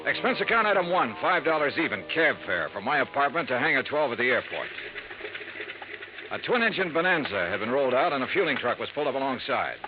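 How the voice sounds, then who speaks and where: An actor playing a dry, matter-of-fact insurance investigator narrating a 1950s radio drama. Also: male voice, mid-range voice, very animated, fast talker, close to an everyday voice. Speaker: lead and narrator. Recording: dull old radio broadcast with music.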